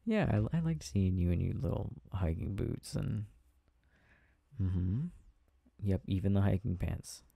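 Man as tender, teasing boyfriend for ASMR roleplay; low, very mellow voice, soft and close. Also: lively intonation, measured pace, gravelly, pauses often, breathy edge, no room sound, full character voice. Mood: tender, contented. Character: affectionate, tired, playful boyfriend getting ready for bed. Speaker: audio roleplay performer. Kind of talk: intimate boyfriend ASMR roleplay, casual chat.

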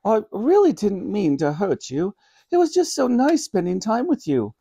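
A man's voice: sits high, wildly swooping pitch, moderate pace, creaky, mellow, breathy edge, pitched above the performer's own voice, heavily acted. A man voicing a sweet, flustered old grandmother in a storybook reading, with genteel old-fashioned exclamations. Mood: fussy.

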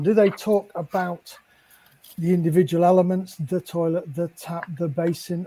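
A man's voice: a little high, mellow, a little hiss or hum, low-quality recording. A middle-aged man moderating an online panel over a video call, with long, wordy questions. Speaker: panel host. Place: indoor room at home, book-lined.

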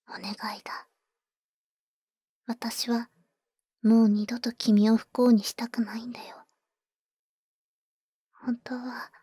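A woman playing a tender, protective vampire older sister in a Japanese ASMR audio roleplay, soft and breathy with cool, boyish phrasing, close on the mic. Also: a little high, dry recording, full character voice. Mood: sorrowful, tender. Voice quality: soft, breathy, often whispering. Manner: husky, cool, boyish speech. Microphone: close studio microphone, dry.

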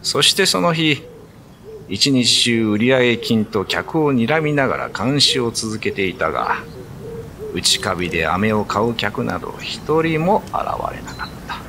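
A man's voice: medium pitch, big pitch swings, a little slow, crowd noise. A man narrating a ghost story in a dramatic radio drama reading. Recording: music under the voice. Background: background music.

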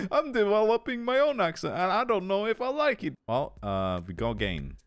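A man's voice: sits high, huge pitch swings, unhurried, dry recording, clean studio sound. A young man with a Swedish accent giving goofy, casual commentary while opening loot boxes, tired and swearing freely. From Sweden. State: tired from no sleep. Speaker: gaming YouTuber.

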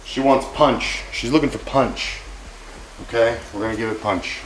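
A man's voice: medium pitch, moderate pace, slight background noise, low-quality recording. A man in his forties hosting a cooking vlog in his home kitchen, brash, joking and profane. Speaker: cooking show host. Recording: sizzling frying pan behind.

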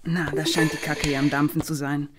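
A woman's voice: low voice, level tone, a little fast, noisy background, close to an everyday voice. An actress playing a broke young woman who runs her own small agency and narrates her troubles with sarcastic asides. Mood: wry. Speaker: narrator and lead.

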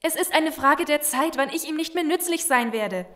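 A woman's voice: high-pitched, animated, normal speed, a bit bright. A woman playing a desperate, earnest young betrayer in a German zombie audio drama. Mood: pleading, bitter. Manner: earnest, urgent.

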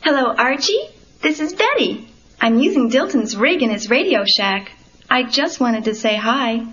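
A woman's voice: fairly high pitch, animated, a little slow, a bit bright. A woman playing a sweet, friendly teenage girl heard through a ham radio in an audio drama. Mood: cheerful. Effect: ham radio filter. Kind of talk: dramatized comic-book audio story acting. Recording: muffled crackly radio transmission.

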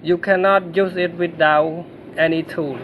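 A man from Cambodia, a native Khmer speaker with a Khmer accent, narrating a screen-recorded tech tutorial in halting English with many fillers and restarts. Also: on the high side, fairly even intonation, highs cut off, slight background noise.

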